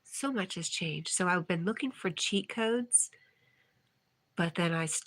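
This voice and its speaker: A woman's voice: lowish voice, a little slow, a bit bright. A woman hosting a casual livestream, reading viewer comments aloud and replying in a chatty way. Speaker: livestream host.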